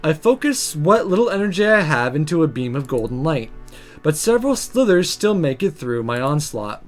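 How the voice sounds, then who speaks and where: A man reading visual novel text aloud as dramatic, expressive first-person story narration. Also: fairly high pitch, moderate pace, faint music behind.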